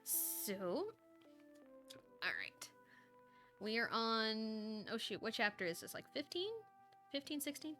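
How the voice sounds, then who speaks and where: A woman's voice: fairly high pitch, expressive, takes it slowly, pauses often, pushed and bright, soft background music. A woman livestreamer with an informal, giggly, self-deprecating way of talking, chatting casually and reading fan fiction aloud. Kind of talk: casual livestream chat and story read-aloud.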